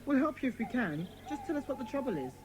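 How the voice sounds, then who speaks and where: A woman playing a confident, bossy schoolboy who leads a children's club, brisk and authoritative, in an audio drama. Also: high-pitched, big pitch swings, darkish tone. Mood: inquiring, reassuring. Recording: clear background noise.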